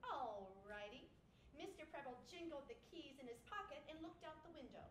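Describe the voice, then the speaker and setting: A woman's voice: sits high, fast talker. A woman delivering scripted narration in a staged comic story reading. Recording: roomy sound, faint noise.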